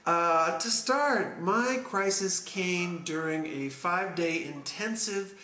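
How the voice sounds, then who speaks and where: A man's voice: high-pitched, slow pace, pushed and bright. A man in his forties from Canada, talking earnestly and reflectively to camera about his own life, with frequent ums and uhs. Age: 40s. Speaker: vlogger telling his story. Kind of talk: personal talk to camera. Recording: echoey room with faint ambient noise.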